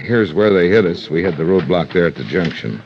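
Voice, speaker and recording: A man acting a plain-spoken, rueful highway police lieutenant in a 1950s radio drama, on a dull vintage recording. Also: lively intonation, a little fast, noisy background. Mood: explanatory, steady.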